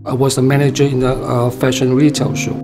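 A middle-aged man from Malaysia, talking in a documentary interview in a halting way with many pauses and fillers. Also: medium pitch, little pitch movement. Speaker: project member. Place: indoor art room. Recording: music bed underneath.

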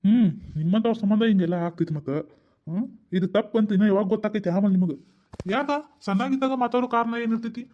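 A man's voice: high voice, fast, lo-fi sound, natural voice. A middle-aged man with a North Karnataka Kannada accent, playing an exasperated father who bluntly berates his wife with rhetorical questions in a family drama skit. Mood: berating.

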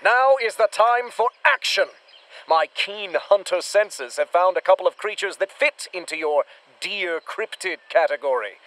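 Pompous American monster hunter, dim, boastful, high-pitched, very animated, theatrical radio serial delivery. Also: male voice, measured pace, a little hiss or hum. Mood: swaggering. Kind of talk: retro radio serial acting.

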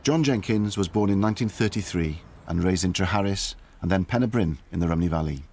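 A man narrating a documentary in a calm, measured scripted delivery. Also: low voice.

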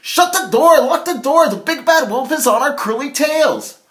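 Shrill, squealing little storybook piglet. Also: male voice, animated, normal speed, fairly bright tone. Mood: panicked. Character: small frantic male piglet, squealing and defiant. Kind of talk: storybook character voice.

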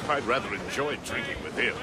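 A man's voice: mid-range voice, brisk, heavy background noise, natural voice. A man playing a jovial, talkative, folksy first mate of a warship in a video game. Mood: chatty, reminiscing. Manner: folksy, chatty. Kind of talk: video game cutscene acting. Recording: music under the dialogue.

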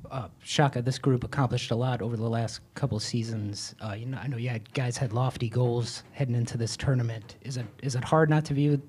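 A man's voice: medium pitch, steady tone, a little fast, hardly any pauses, a little mellow. A man, a reporter, asking a hesitant question at a press conference. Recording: clear background noise.

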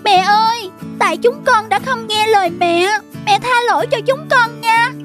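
A woman voicing a naive, polite little starling chick in an animated fable. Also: very high-pitched, normal speed. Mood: apologetic. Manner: polite, pleading. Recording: children's music behind the voice.

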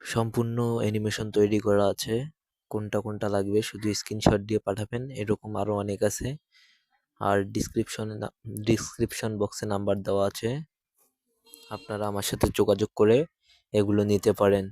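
A man's voice: lowish voice, completely flat delivery, a little fast, mellow, no echo. A man speaking as a channel host and animation creator, making an informal announcement to viewers.

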